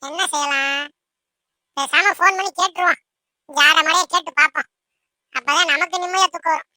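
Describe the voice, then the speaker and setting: A woman's voice: extremely high pitch, steady tone, a little fast, pushed and bright, dry recording, full character voice. A woman voicing an anxious, timid young bride-to-be in an amateur cartoon dub, hesitant and fretful as she seeks reassurance. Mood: worried, fretting.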